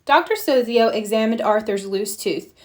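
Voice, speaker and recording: A woman reading a children's storybook aloud with expressive, deliberate narration. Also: on the high side. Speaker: storybook reader.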